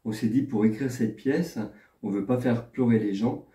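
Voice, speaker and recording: A man who co-wrote the play, speaking haltingly and restarting often in an interview. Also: mid-range voice, warm tone, echoey room, far from the mic. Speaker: co-writer of the play.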